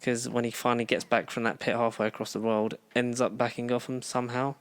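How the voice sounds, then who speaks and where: A man's voice: mid-range voice, flat delivery, fast talker, clear, resonant tone. Male podcast co-host, mid-range voice, rambling casual delivery. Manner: rambling, lots of "like" and "um". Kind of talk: casual chat.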